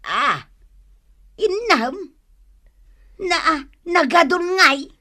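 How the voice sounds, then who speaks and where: A woman's voice: very high-pitched, very animated, drawn-out words, sharp tone, no echo, studio quality, full character voice. A woman with an Ilocano Filipino accent playing a nagging, plaintive village wife in a radio comedy drama, exclamatory and scolding. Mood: surprised, complaining.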